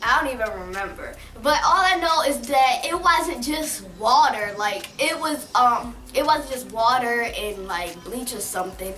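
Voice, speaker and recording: A woman's voice: on the high side, normal speed, hardly any pauses, sharp tone. A young woman hosting a vlog in a casual, chatty style. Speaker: vlog host. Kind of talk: casual chat. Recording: echoey room, distant mic.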